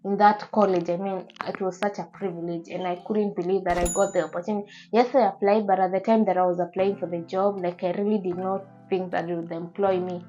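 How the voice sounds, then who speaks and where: A woman's voice: fairly low pitch, little pitch movement, fairly quick, hardly any pauses, dark tone, muffled recording. A woman in her twenties with a Kenyan accent, chatting casually to her viewers as a beauty and lifestyle vlogger in an earnest, emphatic manner. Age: 20s. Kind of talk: casual chat to camera.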